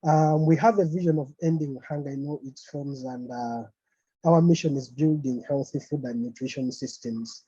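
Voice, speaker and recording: A man's voice: medium pitch, moderate pace, dark tone, slightly rough, dry recording. A man from Uganda giving an online conference presentation, talking hesitantly with many fillers over a video call. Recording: dull, lo-fi call audio.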